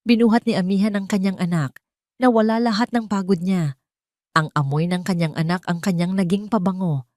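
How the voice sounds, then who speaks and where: A woman's voice: mid-range voice, darkish tone, dry recording, studio quality. Woman narrating a heartfelt drama. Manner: measured, heartfelt storytelling. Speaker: storyteller.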